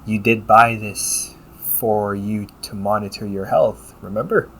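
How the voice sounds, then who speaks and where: A man acts out a male AI companion with a fairly low voice. He is polite and formal, with a caring, gently teasing way of addressing the listener in an intimate audio roleplay. Some noise sits behind the voice. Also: fairly even intonation, a little slow. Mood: playful teasing. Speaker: voice actor. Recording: noticeable background noise.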